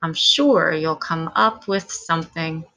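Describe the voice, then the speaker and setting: Woman reading as a calm, encouraging mother. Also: sits low, takes it slowly, exaggerated voice, poor audio. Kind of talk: children's storybook read-aloud.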